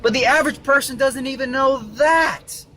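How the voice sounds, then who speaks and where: A man's voice: very high-pitched, moderate pace, sharp tone. A man delivering an emphatic, repetitive, argumentative video monologue, lecturing from inside a car. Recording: faint vehicle noise. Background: faint car noise.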